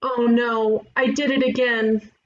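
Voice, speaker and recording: A woman playing a breezy, blunt detective in an animated comedy, casual and matter-of-fact. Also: fairly high pitch, slow pace, lo-fi sound. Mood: embarrassed. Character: breezy, blunt female detective running a ghost agency. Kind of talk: animated comedy table read.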